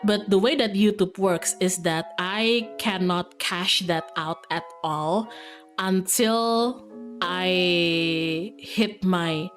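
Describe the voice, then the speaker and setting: A woman streamer chatting casually with her viewers in a playful, giggly, rambling manner. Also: mid-range voice. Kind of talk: casual livestream chat with viewers. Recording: music behind the voice. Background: background music.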